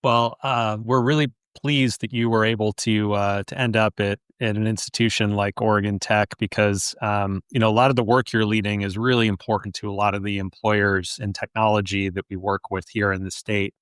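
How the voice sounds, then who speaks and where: An American man hosting a podcast interview in a conversational way, often halting mid-question. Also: fairly low pitch, flat delivery, fairly bright tone, clean tone, dry recording, clean studio sound. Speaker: podcast host, chief executive.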